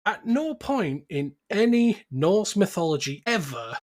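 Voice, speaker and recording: A man's voice: high voice, sing-song, a little slow. A man talking casually as a podcast host about film, opinionated and swearing freely.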